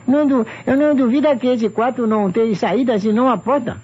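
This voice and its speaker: An actor playing a deferential, puzzled police assistant in an old radio mystery, speaking haltingly with repeated words and question tags. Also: male voice, very high voice, darkish tone, husky. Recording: muffled, hissy old radio recording.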